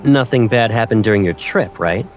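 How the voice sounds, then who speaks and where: A man's voice: on the low side, animated, normal speed, natural voice. A man playing a young pilot in an audio drama, playful and protective, teasing a childhood friend with affection. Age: young adult. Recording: faint music behind the voice.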